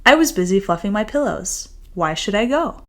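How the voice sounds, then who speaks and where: A woman's voice: medium pitch, very animated, moderate pace, a bit bright. A woman voicing a timid, reluctant male hedgehog who likes staying at home, in a children's storybook reading. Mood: grumpy, reluctant. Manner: huffy, reluctant.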